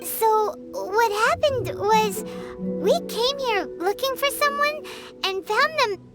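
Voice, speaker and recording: Tiny childlike game companion, very high squeaky voice, swoopy and bubbly, music behind. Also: female voice, animated, slow, exaggerated voice. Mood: eager, chatty. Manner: refers to herself in third person, bubbly. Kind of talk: video game voice acting.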